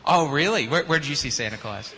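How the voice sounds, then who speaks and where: A man with a bright, fairly high voice leads a church service as pastor. He turns upbeat and playful when talking with children. The sound carries a long hall reverb from the sanctuary. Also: expressive, a little fast, a little hiss or hum. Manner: upbeat and playful with children, often restarting phrases.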